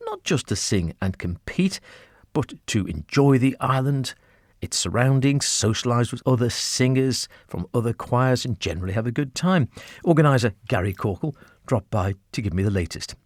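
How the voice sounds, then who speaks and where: A man's voice: mid-range voice, animated, normal speed, no room sound, studio quality. A man hosting a radio arts programme in a conversational presenting and interviewing style. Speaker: radio arts show host.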